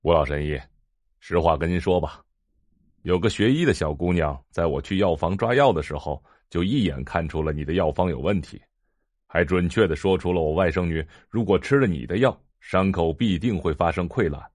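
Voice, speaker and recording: An earnest man in an audio drama, pressing his case. The voice is an ordinary male pitch, slightly low, with a close dry sound. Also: moderate pace, darkish tone, no echo. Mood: earnest, urgent. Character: earnest man pleading with an old doctor. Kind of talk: multi-voice audio drama acting.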